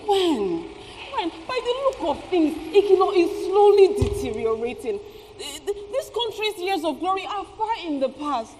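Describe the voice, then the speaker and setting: A woman's voice: very high voice, sing-song, normal speed, darkish tone. A woman playing a bitter, struggling market seamstress and mother, emphatic and sarcastic in a stage play. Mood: exasperated. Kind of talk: theatrical stage play acting. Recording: echoey stage hall with background noise.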